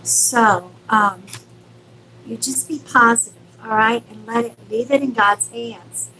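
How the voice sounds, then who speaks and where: A woman with a high, bright voice talks to live-stream viewers as a psychic medium. She is affectionate and reassuring, dropping in endearments as she gives casual readings. A faint noise and hum sit behind her. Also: slow, poor audio.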